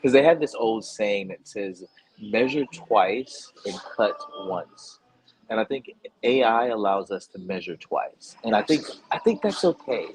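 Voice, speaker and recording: Rambling, laughing man with a lowish voice. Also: lively intonation, unhurried, poor audio. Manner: rambling, self-interrupting, laughs often. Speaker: host interviewing a stranger. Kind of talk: casual street conversation. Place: outdoors at the beach.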